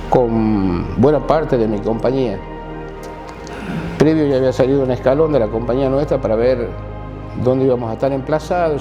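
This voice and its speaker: Halting Argentine man in his sixties. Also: mid-range voice, dark tone. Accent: Argentine Spanish. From Catamarca, Argentina. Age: 60s. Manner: halting, repeats and restarts phrases. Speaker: war veteran giving testimony. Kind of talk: recorded personal testimony.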